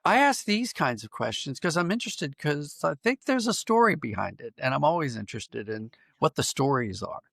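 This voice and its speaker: A man's voice: on the high side, sing-song, normal speed, creaky, few pauses, a bit bright, dry recording, clean studio sound. An American man speaking as an interview guest in a thoughtful, explanatory way, sometimes repeating phrases as he works out a point. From Pennsylvania, United States. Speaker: podcast guest, writer and audio drama producer. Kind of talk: reflective interview conversation.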